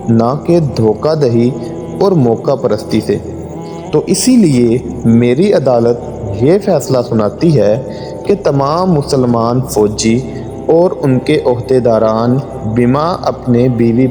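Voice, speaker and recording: A man voicing a stern, just judge in a story, formal, firm and deliberate. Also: medium pitch, close to an everyday voice. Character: stern, just male judge pronouncing a verdict. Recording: long hall reverb, music underneath.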